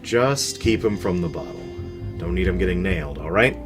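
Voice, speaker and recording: A man playing a teasing, rough-talking gangster club boss in a 1920s radio play. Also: sits low, lively intonation, normal speed. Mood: gruff, earnest. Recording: music playing behind the voice.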